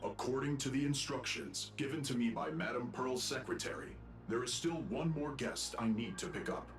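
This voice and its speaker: An actor voicing a polite robot security guard and escort in a video game, speaking in formal, measured phrases. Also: male voice, mid-range voice, expressive, close to an everyday voice. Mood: formal welcome. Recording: echoey voice over music.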